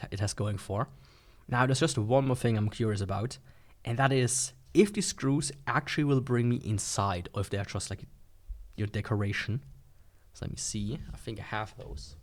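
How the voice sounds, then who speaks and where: A man's voice: medium pitch, expressive, normal speed. A man giving a casual, unscripted product review in a rambling, informal, skeptical way. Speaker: gadget reviewer.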